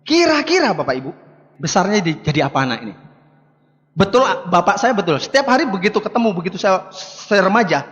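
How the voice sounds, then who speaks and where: A man's voice: sits high, big pitch swings, brisk, fairly bright tone, dull audio. A man gives a motivational talk in a conversational way, putting rhetorical questions to his listeners. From Bali, Indonesia. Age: 50s. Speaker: motivational speaker. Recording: echoing hall, long reverb.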